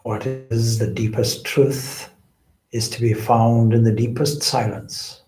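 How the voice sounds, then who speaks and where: A man gives guided spiritual instruction at a very slow pace. His phrasing is deliberate and measured, broken by pauses. His mid-pitched voice echoes in the room. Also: fairly even intonation, a little mellow, faint music behind. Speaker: meditation teacher, swami.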